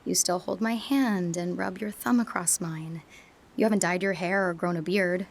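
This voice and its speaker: An actress playing a young female university student in a radio drama, reflecting quietly and sometimes dropping to a whisper. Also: fairly low pitch, steady tone, moderate pace, creaky. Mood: uncertain. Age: young adult.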